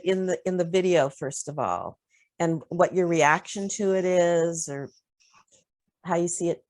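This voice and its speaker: A woman with a lowish voice leads a workshop over a video call. She talks in a loose, conversational way, often pausing on "um" as she gathers her thoughts. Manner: relaxed, conversational, with frequent fillers. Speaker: presenter leading a discussion. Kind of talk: online workshop discussion.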